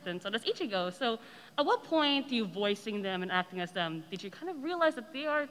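A woman's voice: on the high side, big pitch swings, brisk, a bit bright. A woman asking a casual fan question from the audience, rambling, hesitant and apologetic. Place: large indoor convention panel room. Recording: echoey hall, long reverb.

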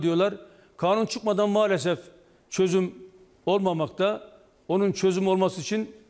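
Union official, male, sixties, high-pitched, measured stop-start delivery, echoing hall-like sound. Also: a little mellow. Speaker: trade union official, interview guest. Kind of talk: live TV news interview, explaining. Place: indoor office or studio, video link to TV broadcast. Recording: long reverberant hall echo.

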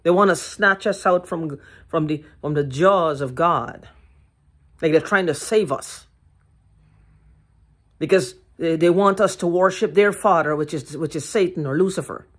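An older woman ranting to her phone camera in a living room, heated, profane and repetitive, with a hoarse throat. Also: low-pitched, a little slow. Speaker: vlogger. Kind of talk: agitated rambling monologue to camera. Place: living room at home, indoors. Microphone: phone held at arm's length.

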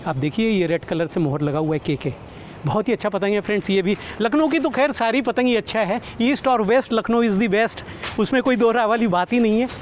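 A man's voice: sits high, fairly quick. A middle-aged man giving casual, explanatory vlog commentary to his viewers, talking to them like friends. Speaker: kite flyer presenting his vlog. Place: outdoors on an open rooftop. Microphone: clip-on lapel microphone. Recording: outdoor urban ambience, traffic noise. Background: faint outdoor city and vehicle noise.

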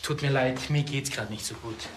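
An actor playing an uneasy, evasive Italian singer. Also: male voice, mid-range voice, steady tone, moderate pace, background noise, lightly acted. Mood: shaken.

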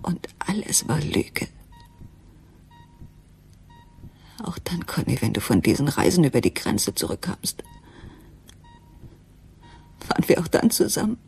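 A woman playing an anguished, frightened wife and mother in a radio drama, speaking haltingly and intimately, at times near a whisper. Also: low-pitched, very animated, darkish tone, heavily acted. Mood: anguished, disbelieving. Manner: breathy, halting, dropping into whispers. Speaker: actress in a crime radio play.

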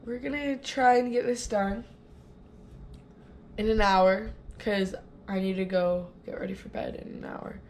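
A woman's voice: mid-range voice, steady tone, unhurried, darkish tone. A teenage girl vlogging casually to a handheld camera, rambling with fillers and trailing sentences. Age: teens. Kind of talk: casual vlog chat. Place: inside a car, then a house. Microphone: camera held at arm's length.

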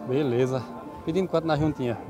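A man vlogging his walk through an outdoor livestock market, greeting sellers in a brisk, friendly, chatty way. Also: fairly high pitch, music in the background. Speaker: vlogger touring a cattle market. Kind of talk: casual on-the-spot vlog, asking sellers prices. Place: outdoor livestock fair pens. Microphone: handheld phone camera. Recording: outdoor market with loud crowd and cattle.

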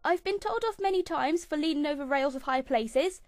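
A young English woman in her twenties with an English accent, hosting her own vlog and reading a list of facts about herself in a chirpy way that dips into low mutters. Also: brisk, darkish tone, no room sound. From England. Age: 20s. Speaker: channel host. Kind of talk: scripted fifty-facts vlog.

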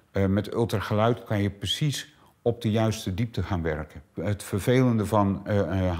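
A man's voice: lowish voice, a little mellow. A middle-aged man who owns a clinic, explaining treatments with many hesitant filler sounds. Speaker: clinic owner. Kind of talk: explanatory interview answers. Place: small treatment room indoors.

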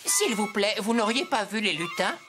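A scheming pet cat from a children's cartoon, acted by a woman. The delivery is grumbling and theatrical, with lively swings of pitch. Music plays clearly behind it. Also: moderate pace, lightly acted. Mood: pleading.

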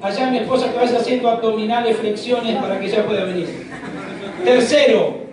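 A middle-aged man with an Argentine accent, preaching a fervent sermon with shouts and quips to a packed church. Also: high-pitched, a little fast, distant mic. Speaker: pastor. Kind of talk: energetic sermon. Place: large packed church hall. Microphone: handheld mic. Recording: echoey hall, crowd noise. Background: congregation noise.

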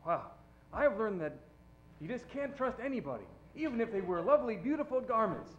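Earnest detective's helper, played by a man: very high, lively, vintage radio comedy, muffled sound. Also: a little fast. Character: eager, earnest sidekick to the detective. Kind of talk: old-time radio comedy acting. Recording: echoey, dull, noisy stage recording.

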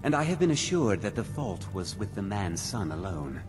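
A pompous fantasy game emperor. His voice is fairly low but highly animated, rolling out complacent, oratorical lines. Prominent music plays behind him. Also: moderate pace. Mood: dismissive, assured. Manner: grandiose, oratorical. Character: pompous, complacent aging male emperor at a banquet. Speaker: emperor character. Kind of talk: fantasy video game dialogue.

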